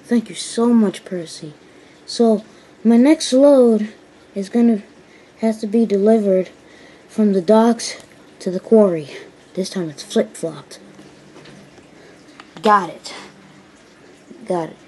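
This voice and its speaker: A woman's voice: medium pitch, takes it slowly, pauses often, a little mellow, breathy edge, poor audio. A woman voicing an earnest, well-meaning male tank engine in a children's story, speaking emphatically. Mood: cheerful, earnest.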